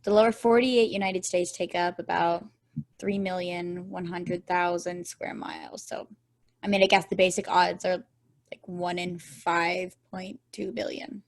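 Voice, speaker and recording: A woman's voice: lowish voice, flat delivery, measured pace, gravelly. A woman playing an earnest, curious and easily puzzled space probe, hesitant and conversational, in a table read. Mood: hesitant, calculating. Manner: hesitant, questioning.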